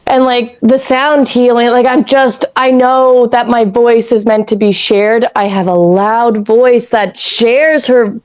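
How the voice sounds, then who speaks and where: A raspy-voiced woman in her thirties from Canada, co-hosting a podcast in rambling, casual chat that drifts into spiritual talk and easy swearing. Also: on the high side, steady tone, slow pace, hardly any pauses, darkish tone. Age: 30s. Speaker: podcast co-host.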